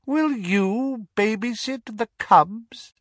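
A man voicing a cold, menacing male dark lord with a quiet, carrying voice, speaking slowly and mockingly. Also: high-pitched, no room sound, studio quality, pitched above the performer's own voice. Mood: taunting. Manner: slow, silky and mocking. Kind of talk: audiobook character voice.

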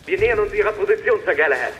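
An actress playing a dutiful military dispatcher with a formal, clipped reporting manner in an audio drama. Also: female voice, mid-range voice, lively intonation, rapid-fire, lightly acted, low-quality recording. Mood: alert, formal. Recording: loud music and gunfire behind.

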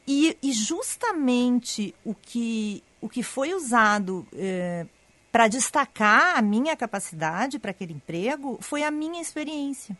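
A woman in her fifties, a radio co-host with an accent from outside the region, telling a personal story in a lively way. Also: no room sound. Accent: non-local accent in southern Brazil. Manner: lively storyteller, animated pitch swings.

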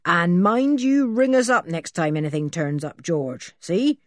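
A woman's voice: lowish voice, measured pace, dry recording, close to an everyday voice. Woman putting on a stolid, ponderous village policeman. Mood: matter-of-fact. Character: stolid, friendly village policeman, adult man.

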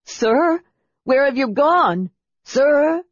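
A man's voice: big pitch swings, a little slow, breathy edge, full character voice. A man voicing a timid, dutiful, flustered male servant in an audiobook, nervous and deferential. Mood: bewildered. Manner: nervous, deferential, high-voiced. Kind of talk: audiobook character voice. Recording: roomy echo, slightly dull sound.

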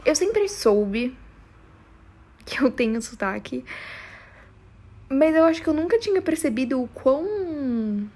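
A woman's voice: high voice, very animated, slow, pauses often, warm tone. A young woman with a strong São Paulo Brazilian accent, chatting casually and confessionally in a rambling, slangy, emphatic way. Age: young adult. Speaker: podcast host.

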